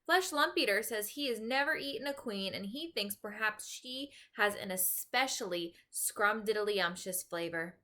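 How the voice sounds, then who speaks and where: A woman voicing a stubborn, gentle, pessimistic male giant with garbled folksy grammar in a children's storybook. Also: medium pitch, unhurried, hardly any pauses. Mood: matter-of-fact. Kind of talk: children's storybook read-aloud.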